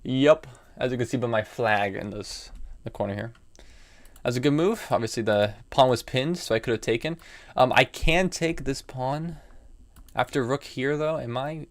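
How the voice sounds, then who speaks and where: Young American man in his early twenties, mid-pitched, talking through chess moves on stream over keyboard clicks. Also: moderate pace. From United States. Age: young adult. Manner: thinking aloud, musing and reading chat. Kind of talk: live commentary while playing chess.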